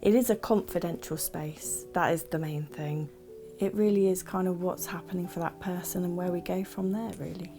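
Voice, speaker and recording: Mellow, creaky-voiced woman. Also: fairly low pitch, fairly even intonation, moderate pace, soft background music. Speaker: charity support worker. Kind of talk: explanatory interview talk.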